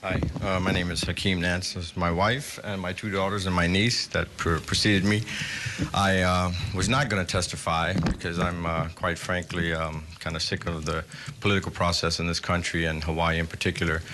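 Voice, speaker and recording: A middle-aged man giving public testimony at a hearing, indignant and earnest, with halting fillers. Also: deep voice, flat delivery, noisy background.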